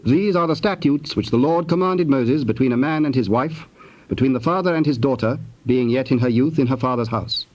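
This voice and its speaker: A man narrating scripture from a script in a formal, measured, declamatory manner. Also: mid-range voice, a little mellow, highs cut off, a little hiss or hum.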